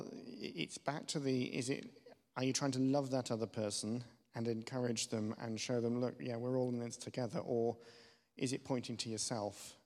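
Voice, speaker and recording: Male church panellist, average pitch, offering off-the-cuff pastoral guidance in stop-start phrases, with long room reverb. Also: a little mellow. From West Germany. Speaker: church panel speaker answering audience questions. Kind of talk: spontaneous pastoral advice. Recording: reverberant hall.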